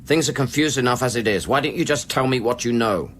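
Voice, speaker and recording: An actor playing a sober, suspicious investigator in a radio play, pressing with short, clipped questions. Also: male voice, medium pitch, fast, fairly bright tone, slight background noise, close to an everyday voice. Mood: frustrated, insistent. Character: probing, insistent male investigator, sober and suspicious. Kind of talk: radio drama acting.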